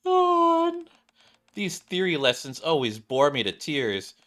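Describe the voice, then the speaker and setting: A man's voice: a little high, huge pitch swings, slow, bright, forceful tone, pitched above the performer's own voice, exaggerated voice. A man voicing a perky, teasing schoolgirl as he reads game cutscene dialogue aloud. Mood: playful teasing.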